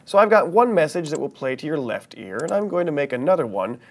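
An American man in his thirties presenting a scripted but conversational software tutorial in an animated, playful way. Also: a little high, fast, slight background noise. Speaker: software training instructor.

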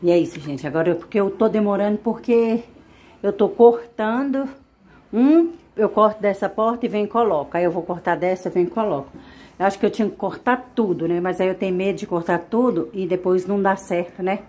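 A woman's voice: medium pitch, a little fast, warm tone. A middle-aged woman from Brazil, chatting casually to her viewers while working in a small home kitchen, often tagging her sentences with little questions. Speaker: home vlogger. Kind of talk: casual chat to camera. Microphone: wide camera mounted in kitchen corner.

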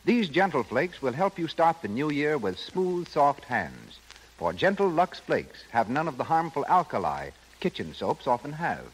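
A man's voice: mid-range voice, low-quality recording. An American man reading scripted advertising copy as a 1930s radio announcer. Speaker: commercial announcer. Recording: old radio recording, faint noise.

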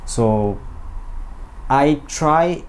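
A man in his thirties from Zanzibar, answering interview questions and explaining in a halting, pausing way. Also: on the high side, expressive. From Zanzibar, Tanzania. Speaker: interview guest, company owner. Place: small indoor office, seated at a desk. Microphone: lapel. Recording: clear background noise, room ambience.

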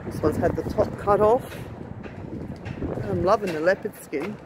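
A woman's voice: mid-range voice, a little slow. A woman giving casual, admiring walk-along commentary for a vlog, musing aloud and asking rhetorical questions. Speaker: vlogger. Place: outdoor car wrecking yard. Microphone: handheld phone held while walking. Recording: windy, noisy outdoor phone recording. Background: wind noise.

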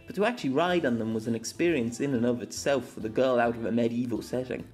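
A man's voice: mid-range voice, a little mellow. Man narrating a story over music. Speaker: amateur audiobook narrator.

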